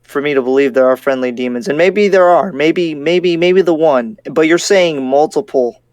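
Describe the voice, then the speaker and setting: A man playing a skeptical, cautious demon slayer in an improvised game roleplay, halting and repeating himself as he reasons. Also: a little high, a little mellow, dry recording, natural voice.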